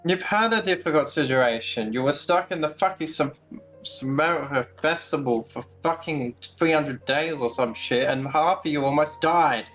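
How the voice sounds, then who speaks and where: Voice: medium pitch, normal speed, a bit bright. A game streamer giving casual commentary, sarcastic, blunt and sweary. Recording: faint game music behind.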